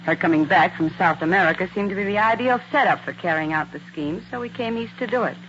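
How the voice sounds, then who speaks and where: A woman's voice: lowish voice, fast, fairly bright tone, lightly acted. An actress playing a cool, calculating young female impostor in a 1940s radio drama. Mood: cool, unrepentant. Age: young adult. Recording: muffled, hissy old radio recording.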